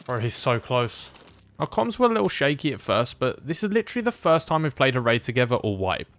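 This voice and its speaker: A man streaming a game, chatting casually with a teammate in an excitable, sweary, exclaiming way. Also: mid-range voice, brisk. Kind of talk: casual gaming commentary with a teammate.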